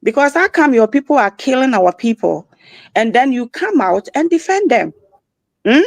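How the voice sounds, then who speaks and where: A Ghanaian woman calling in to a discussion, speaking in a halting, aggrieved way. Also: on the high side, big pitch swings, vocal fry, no echo. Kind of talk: casual discussion.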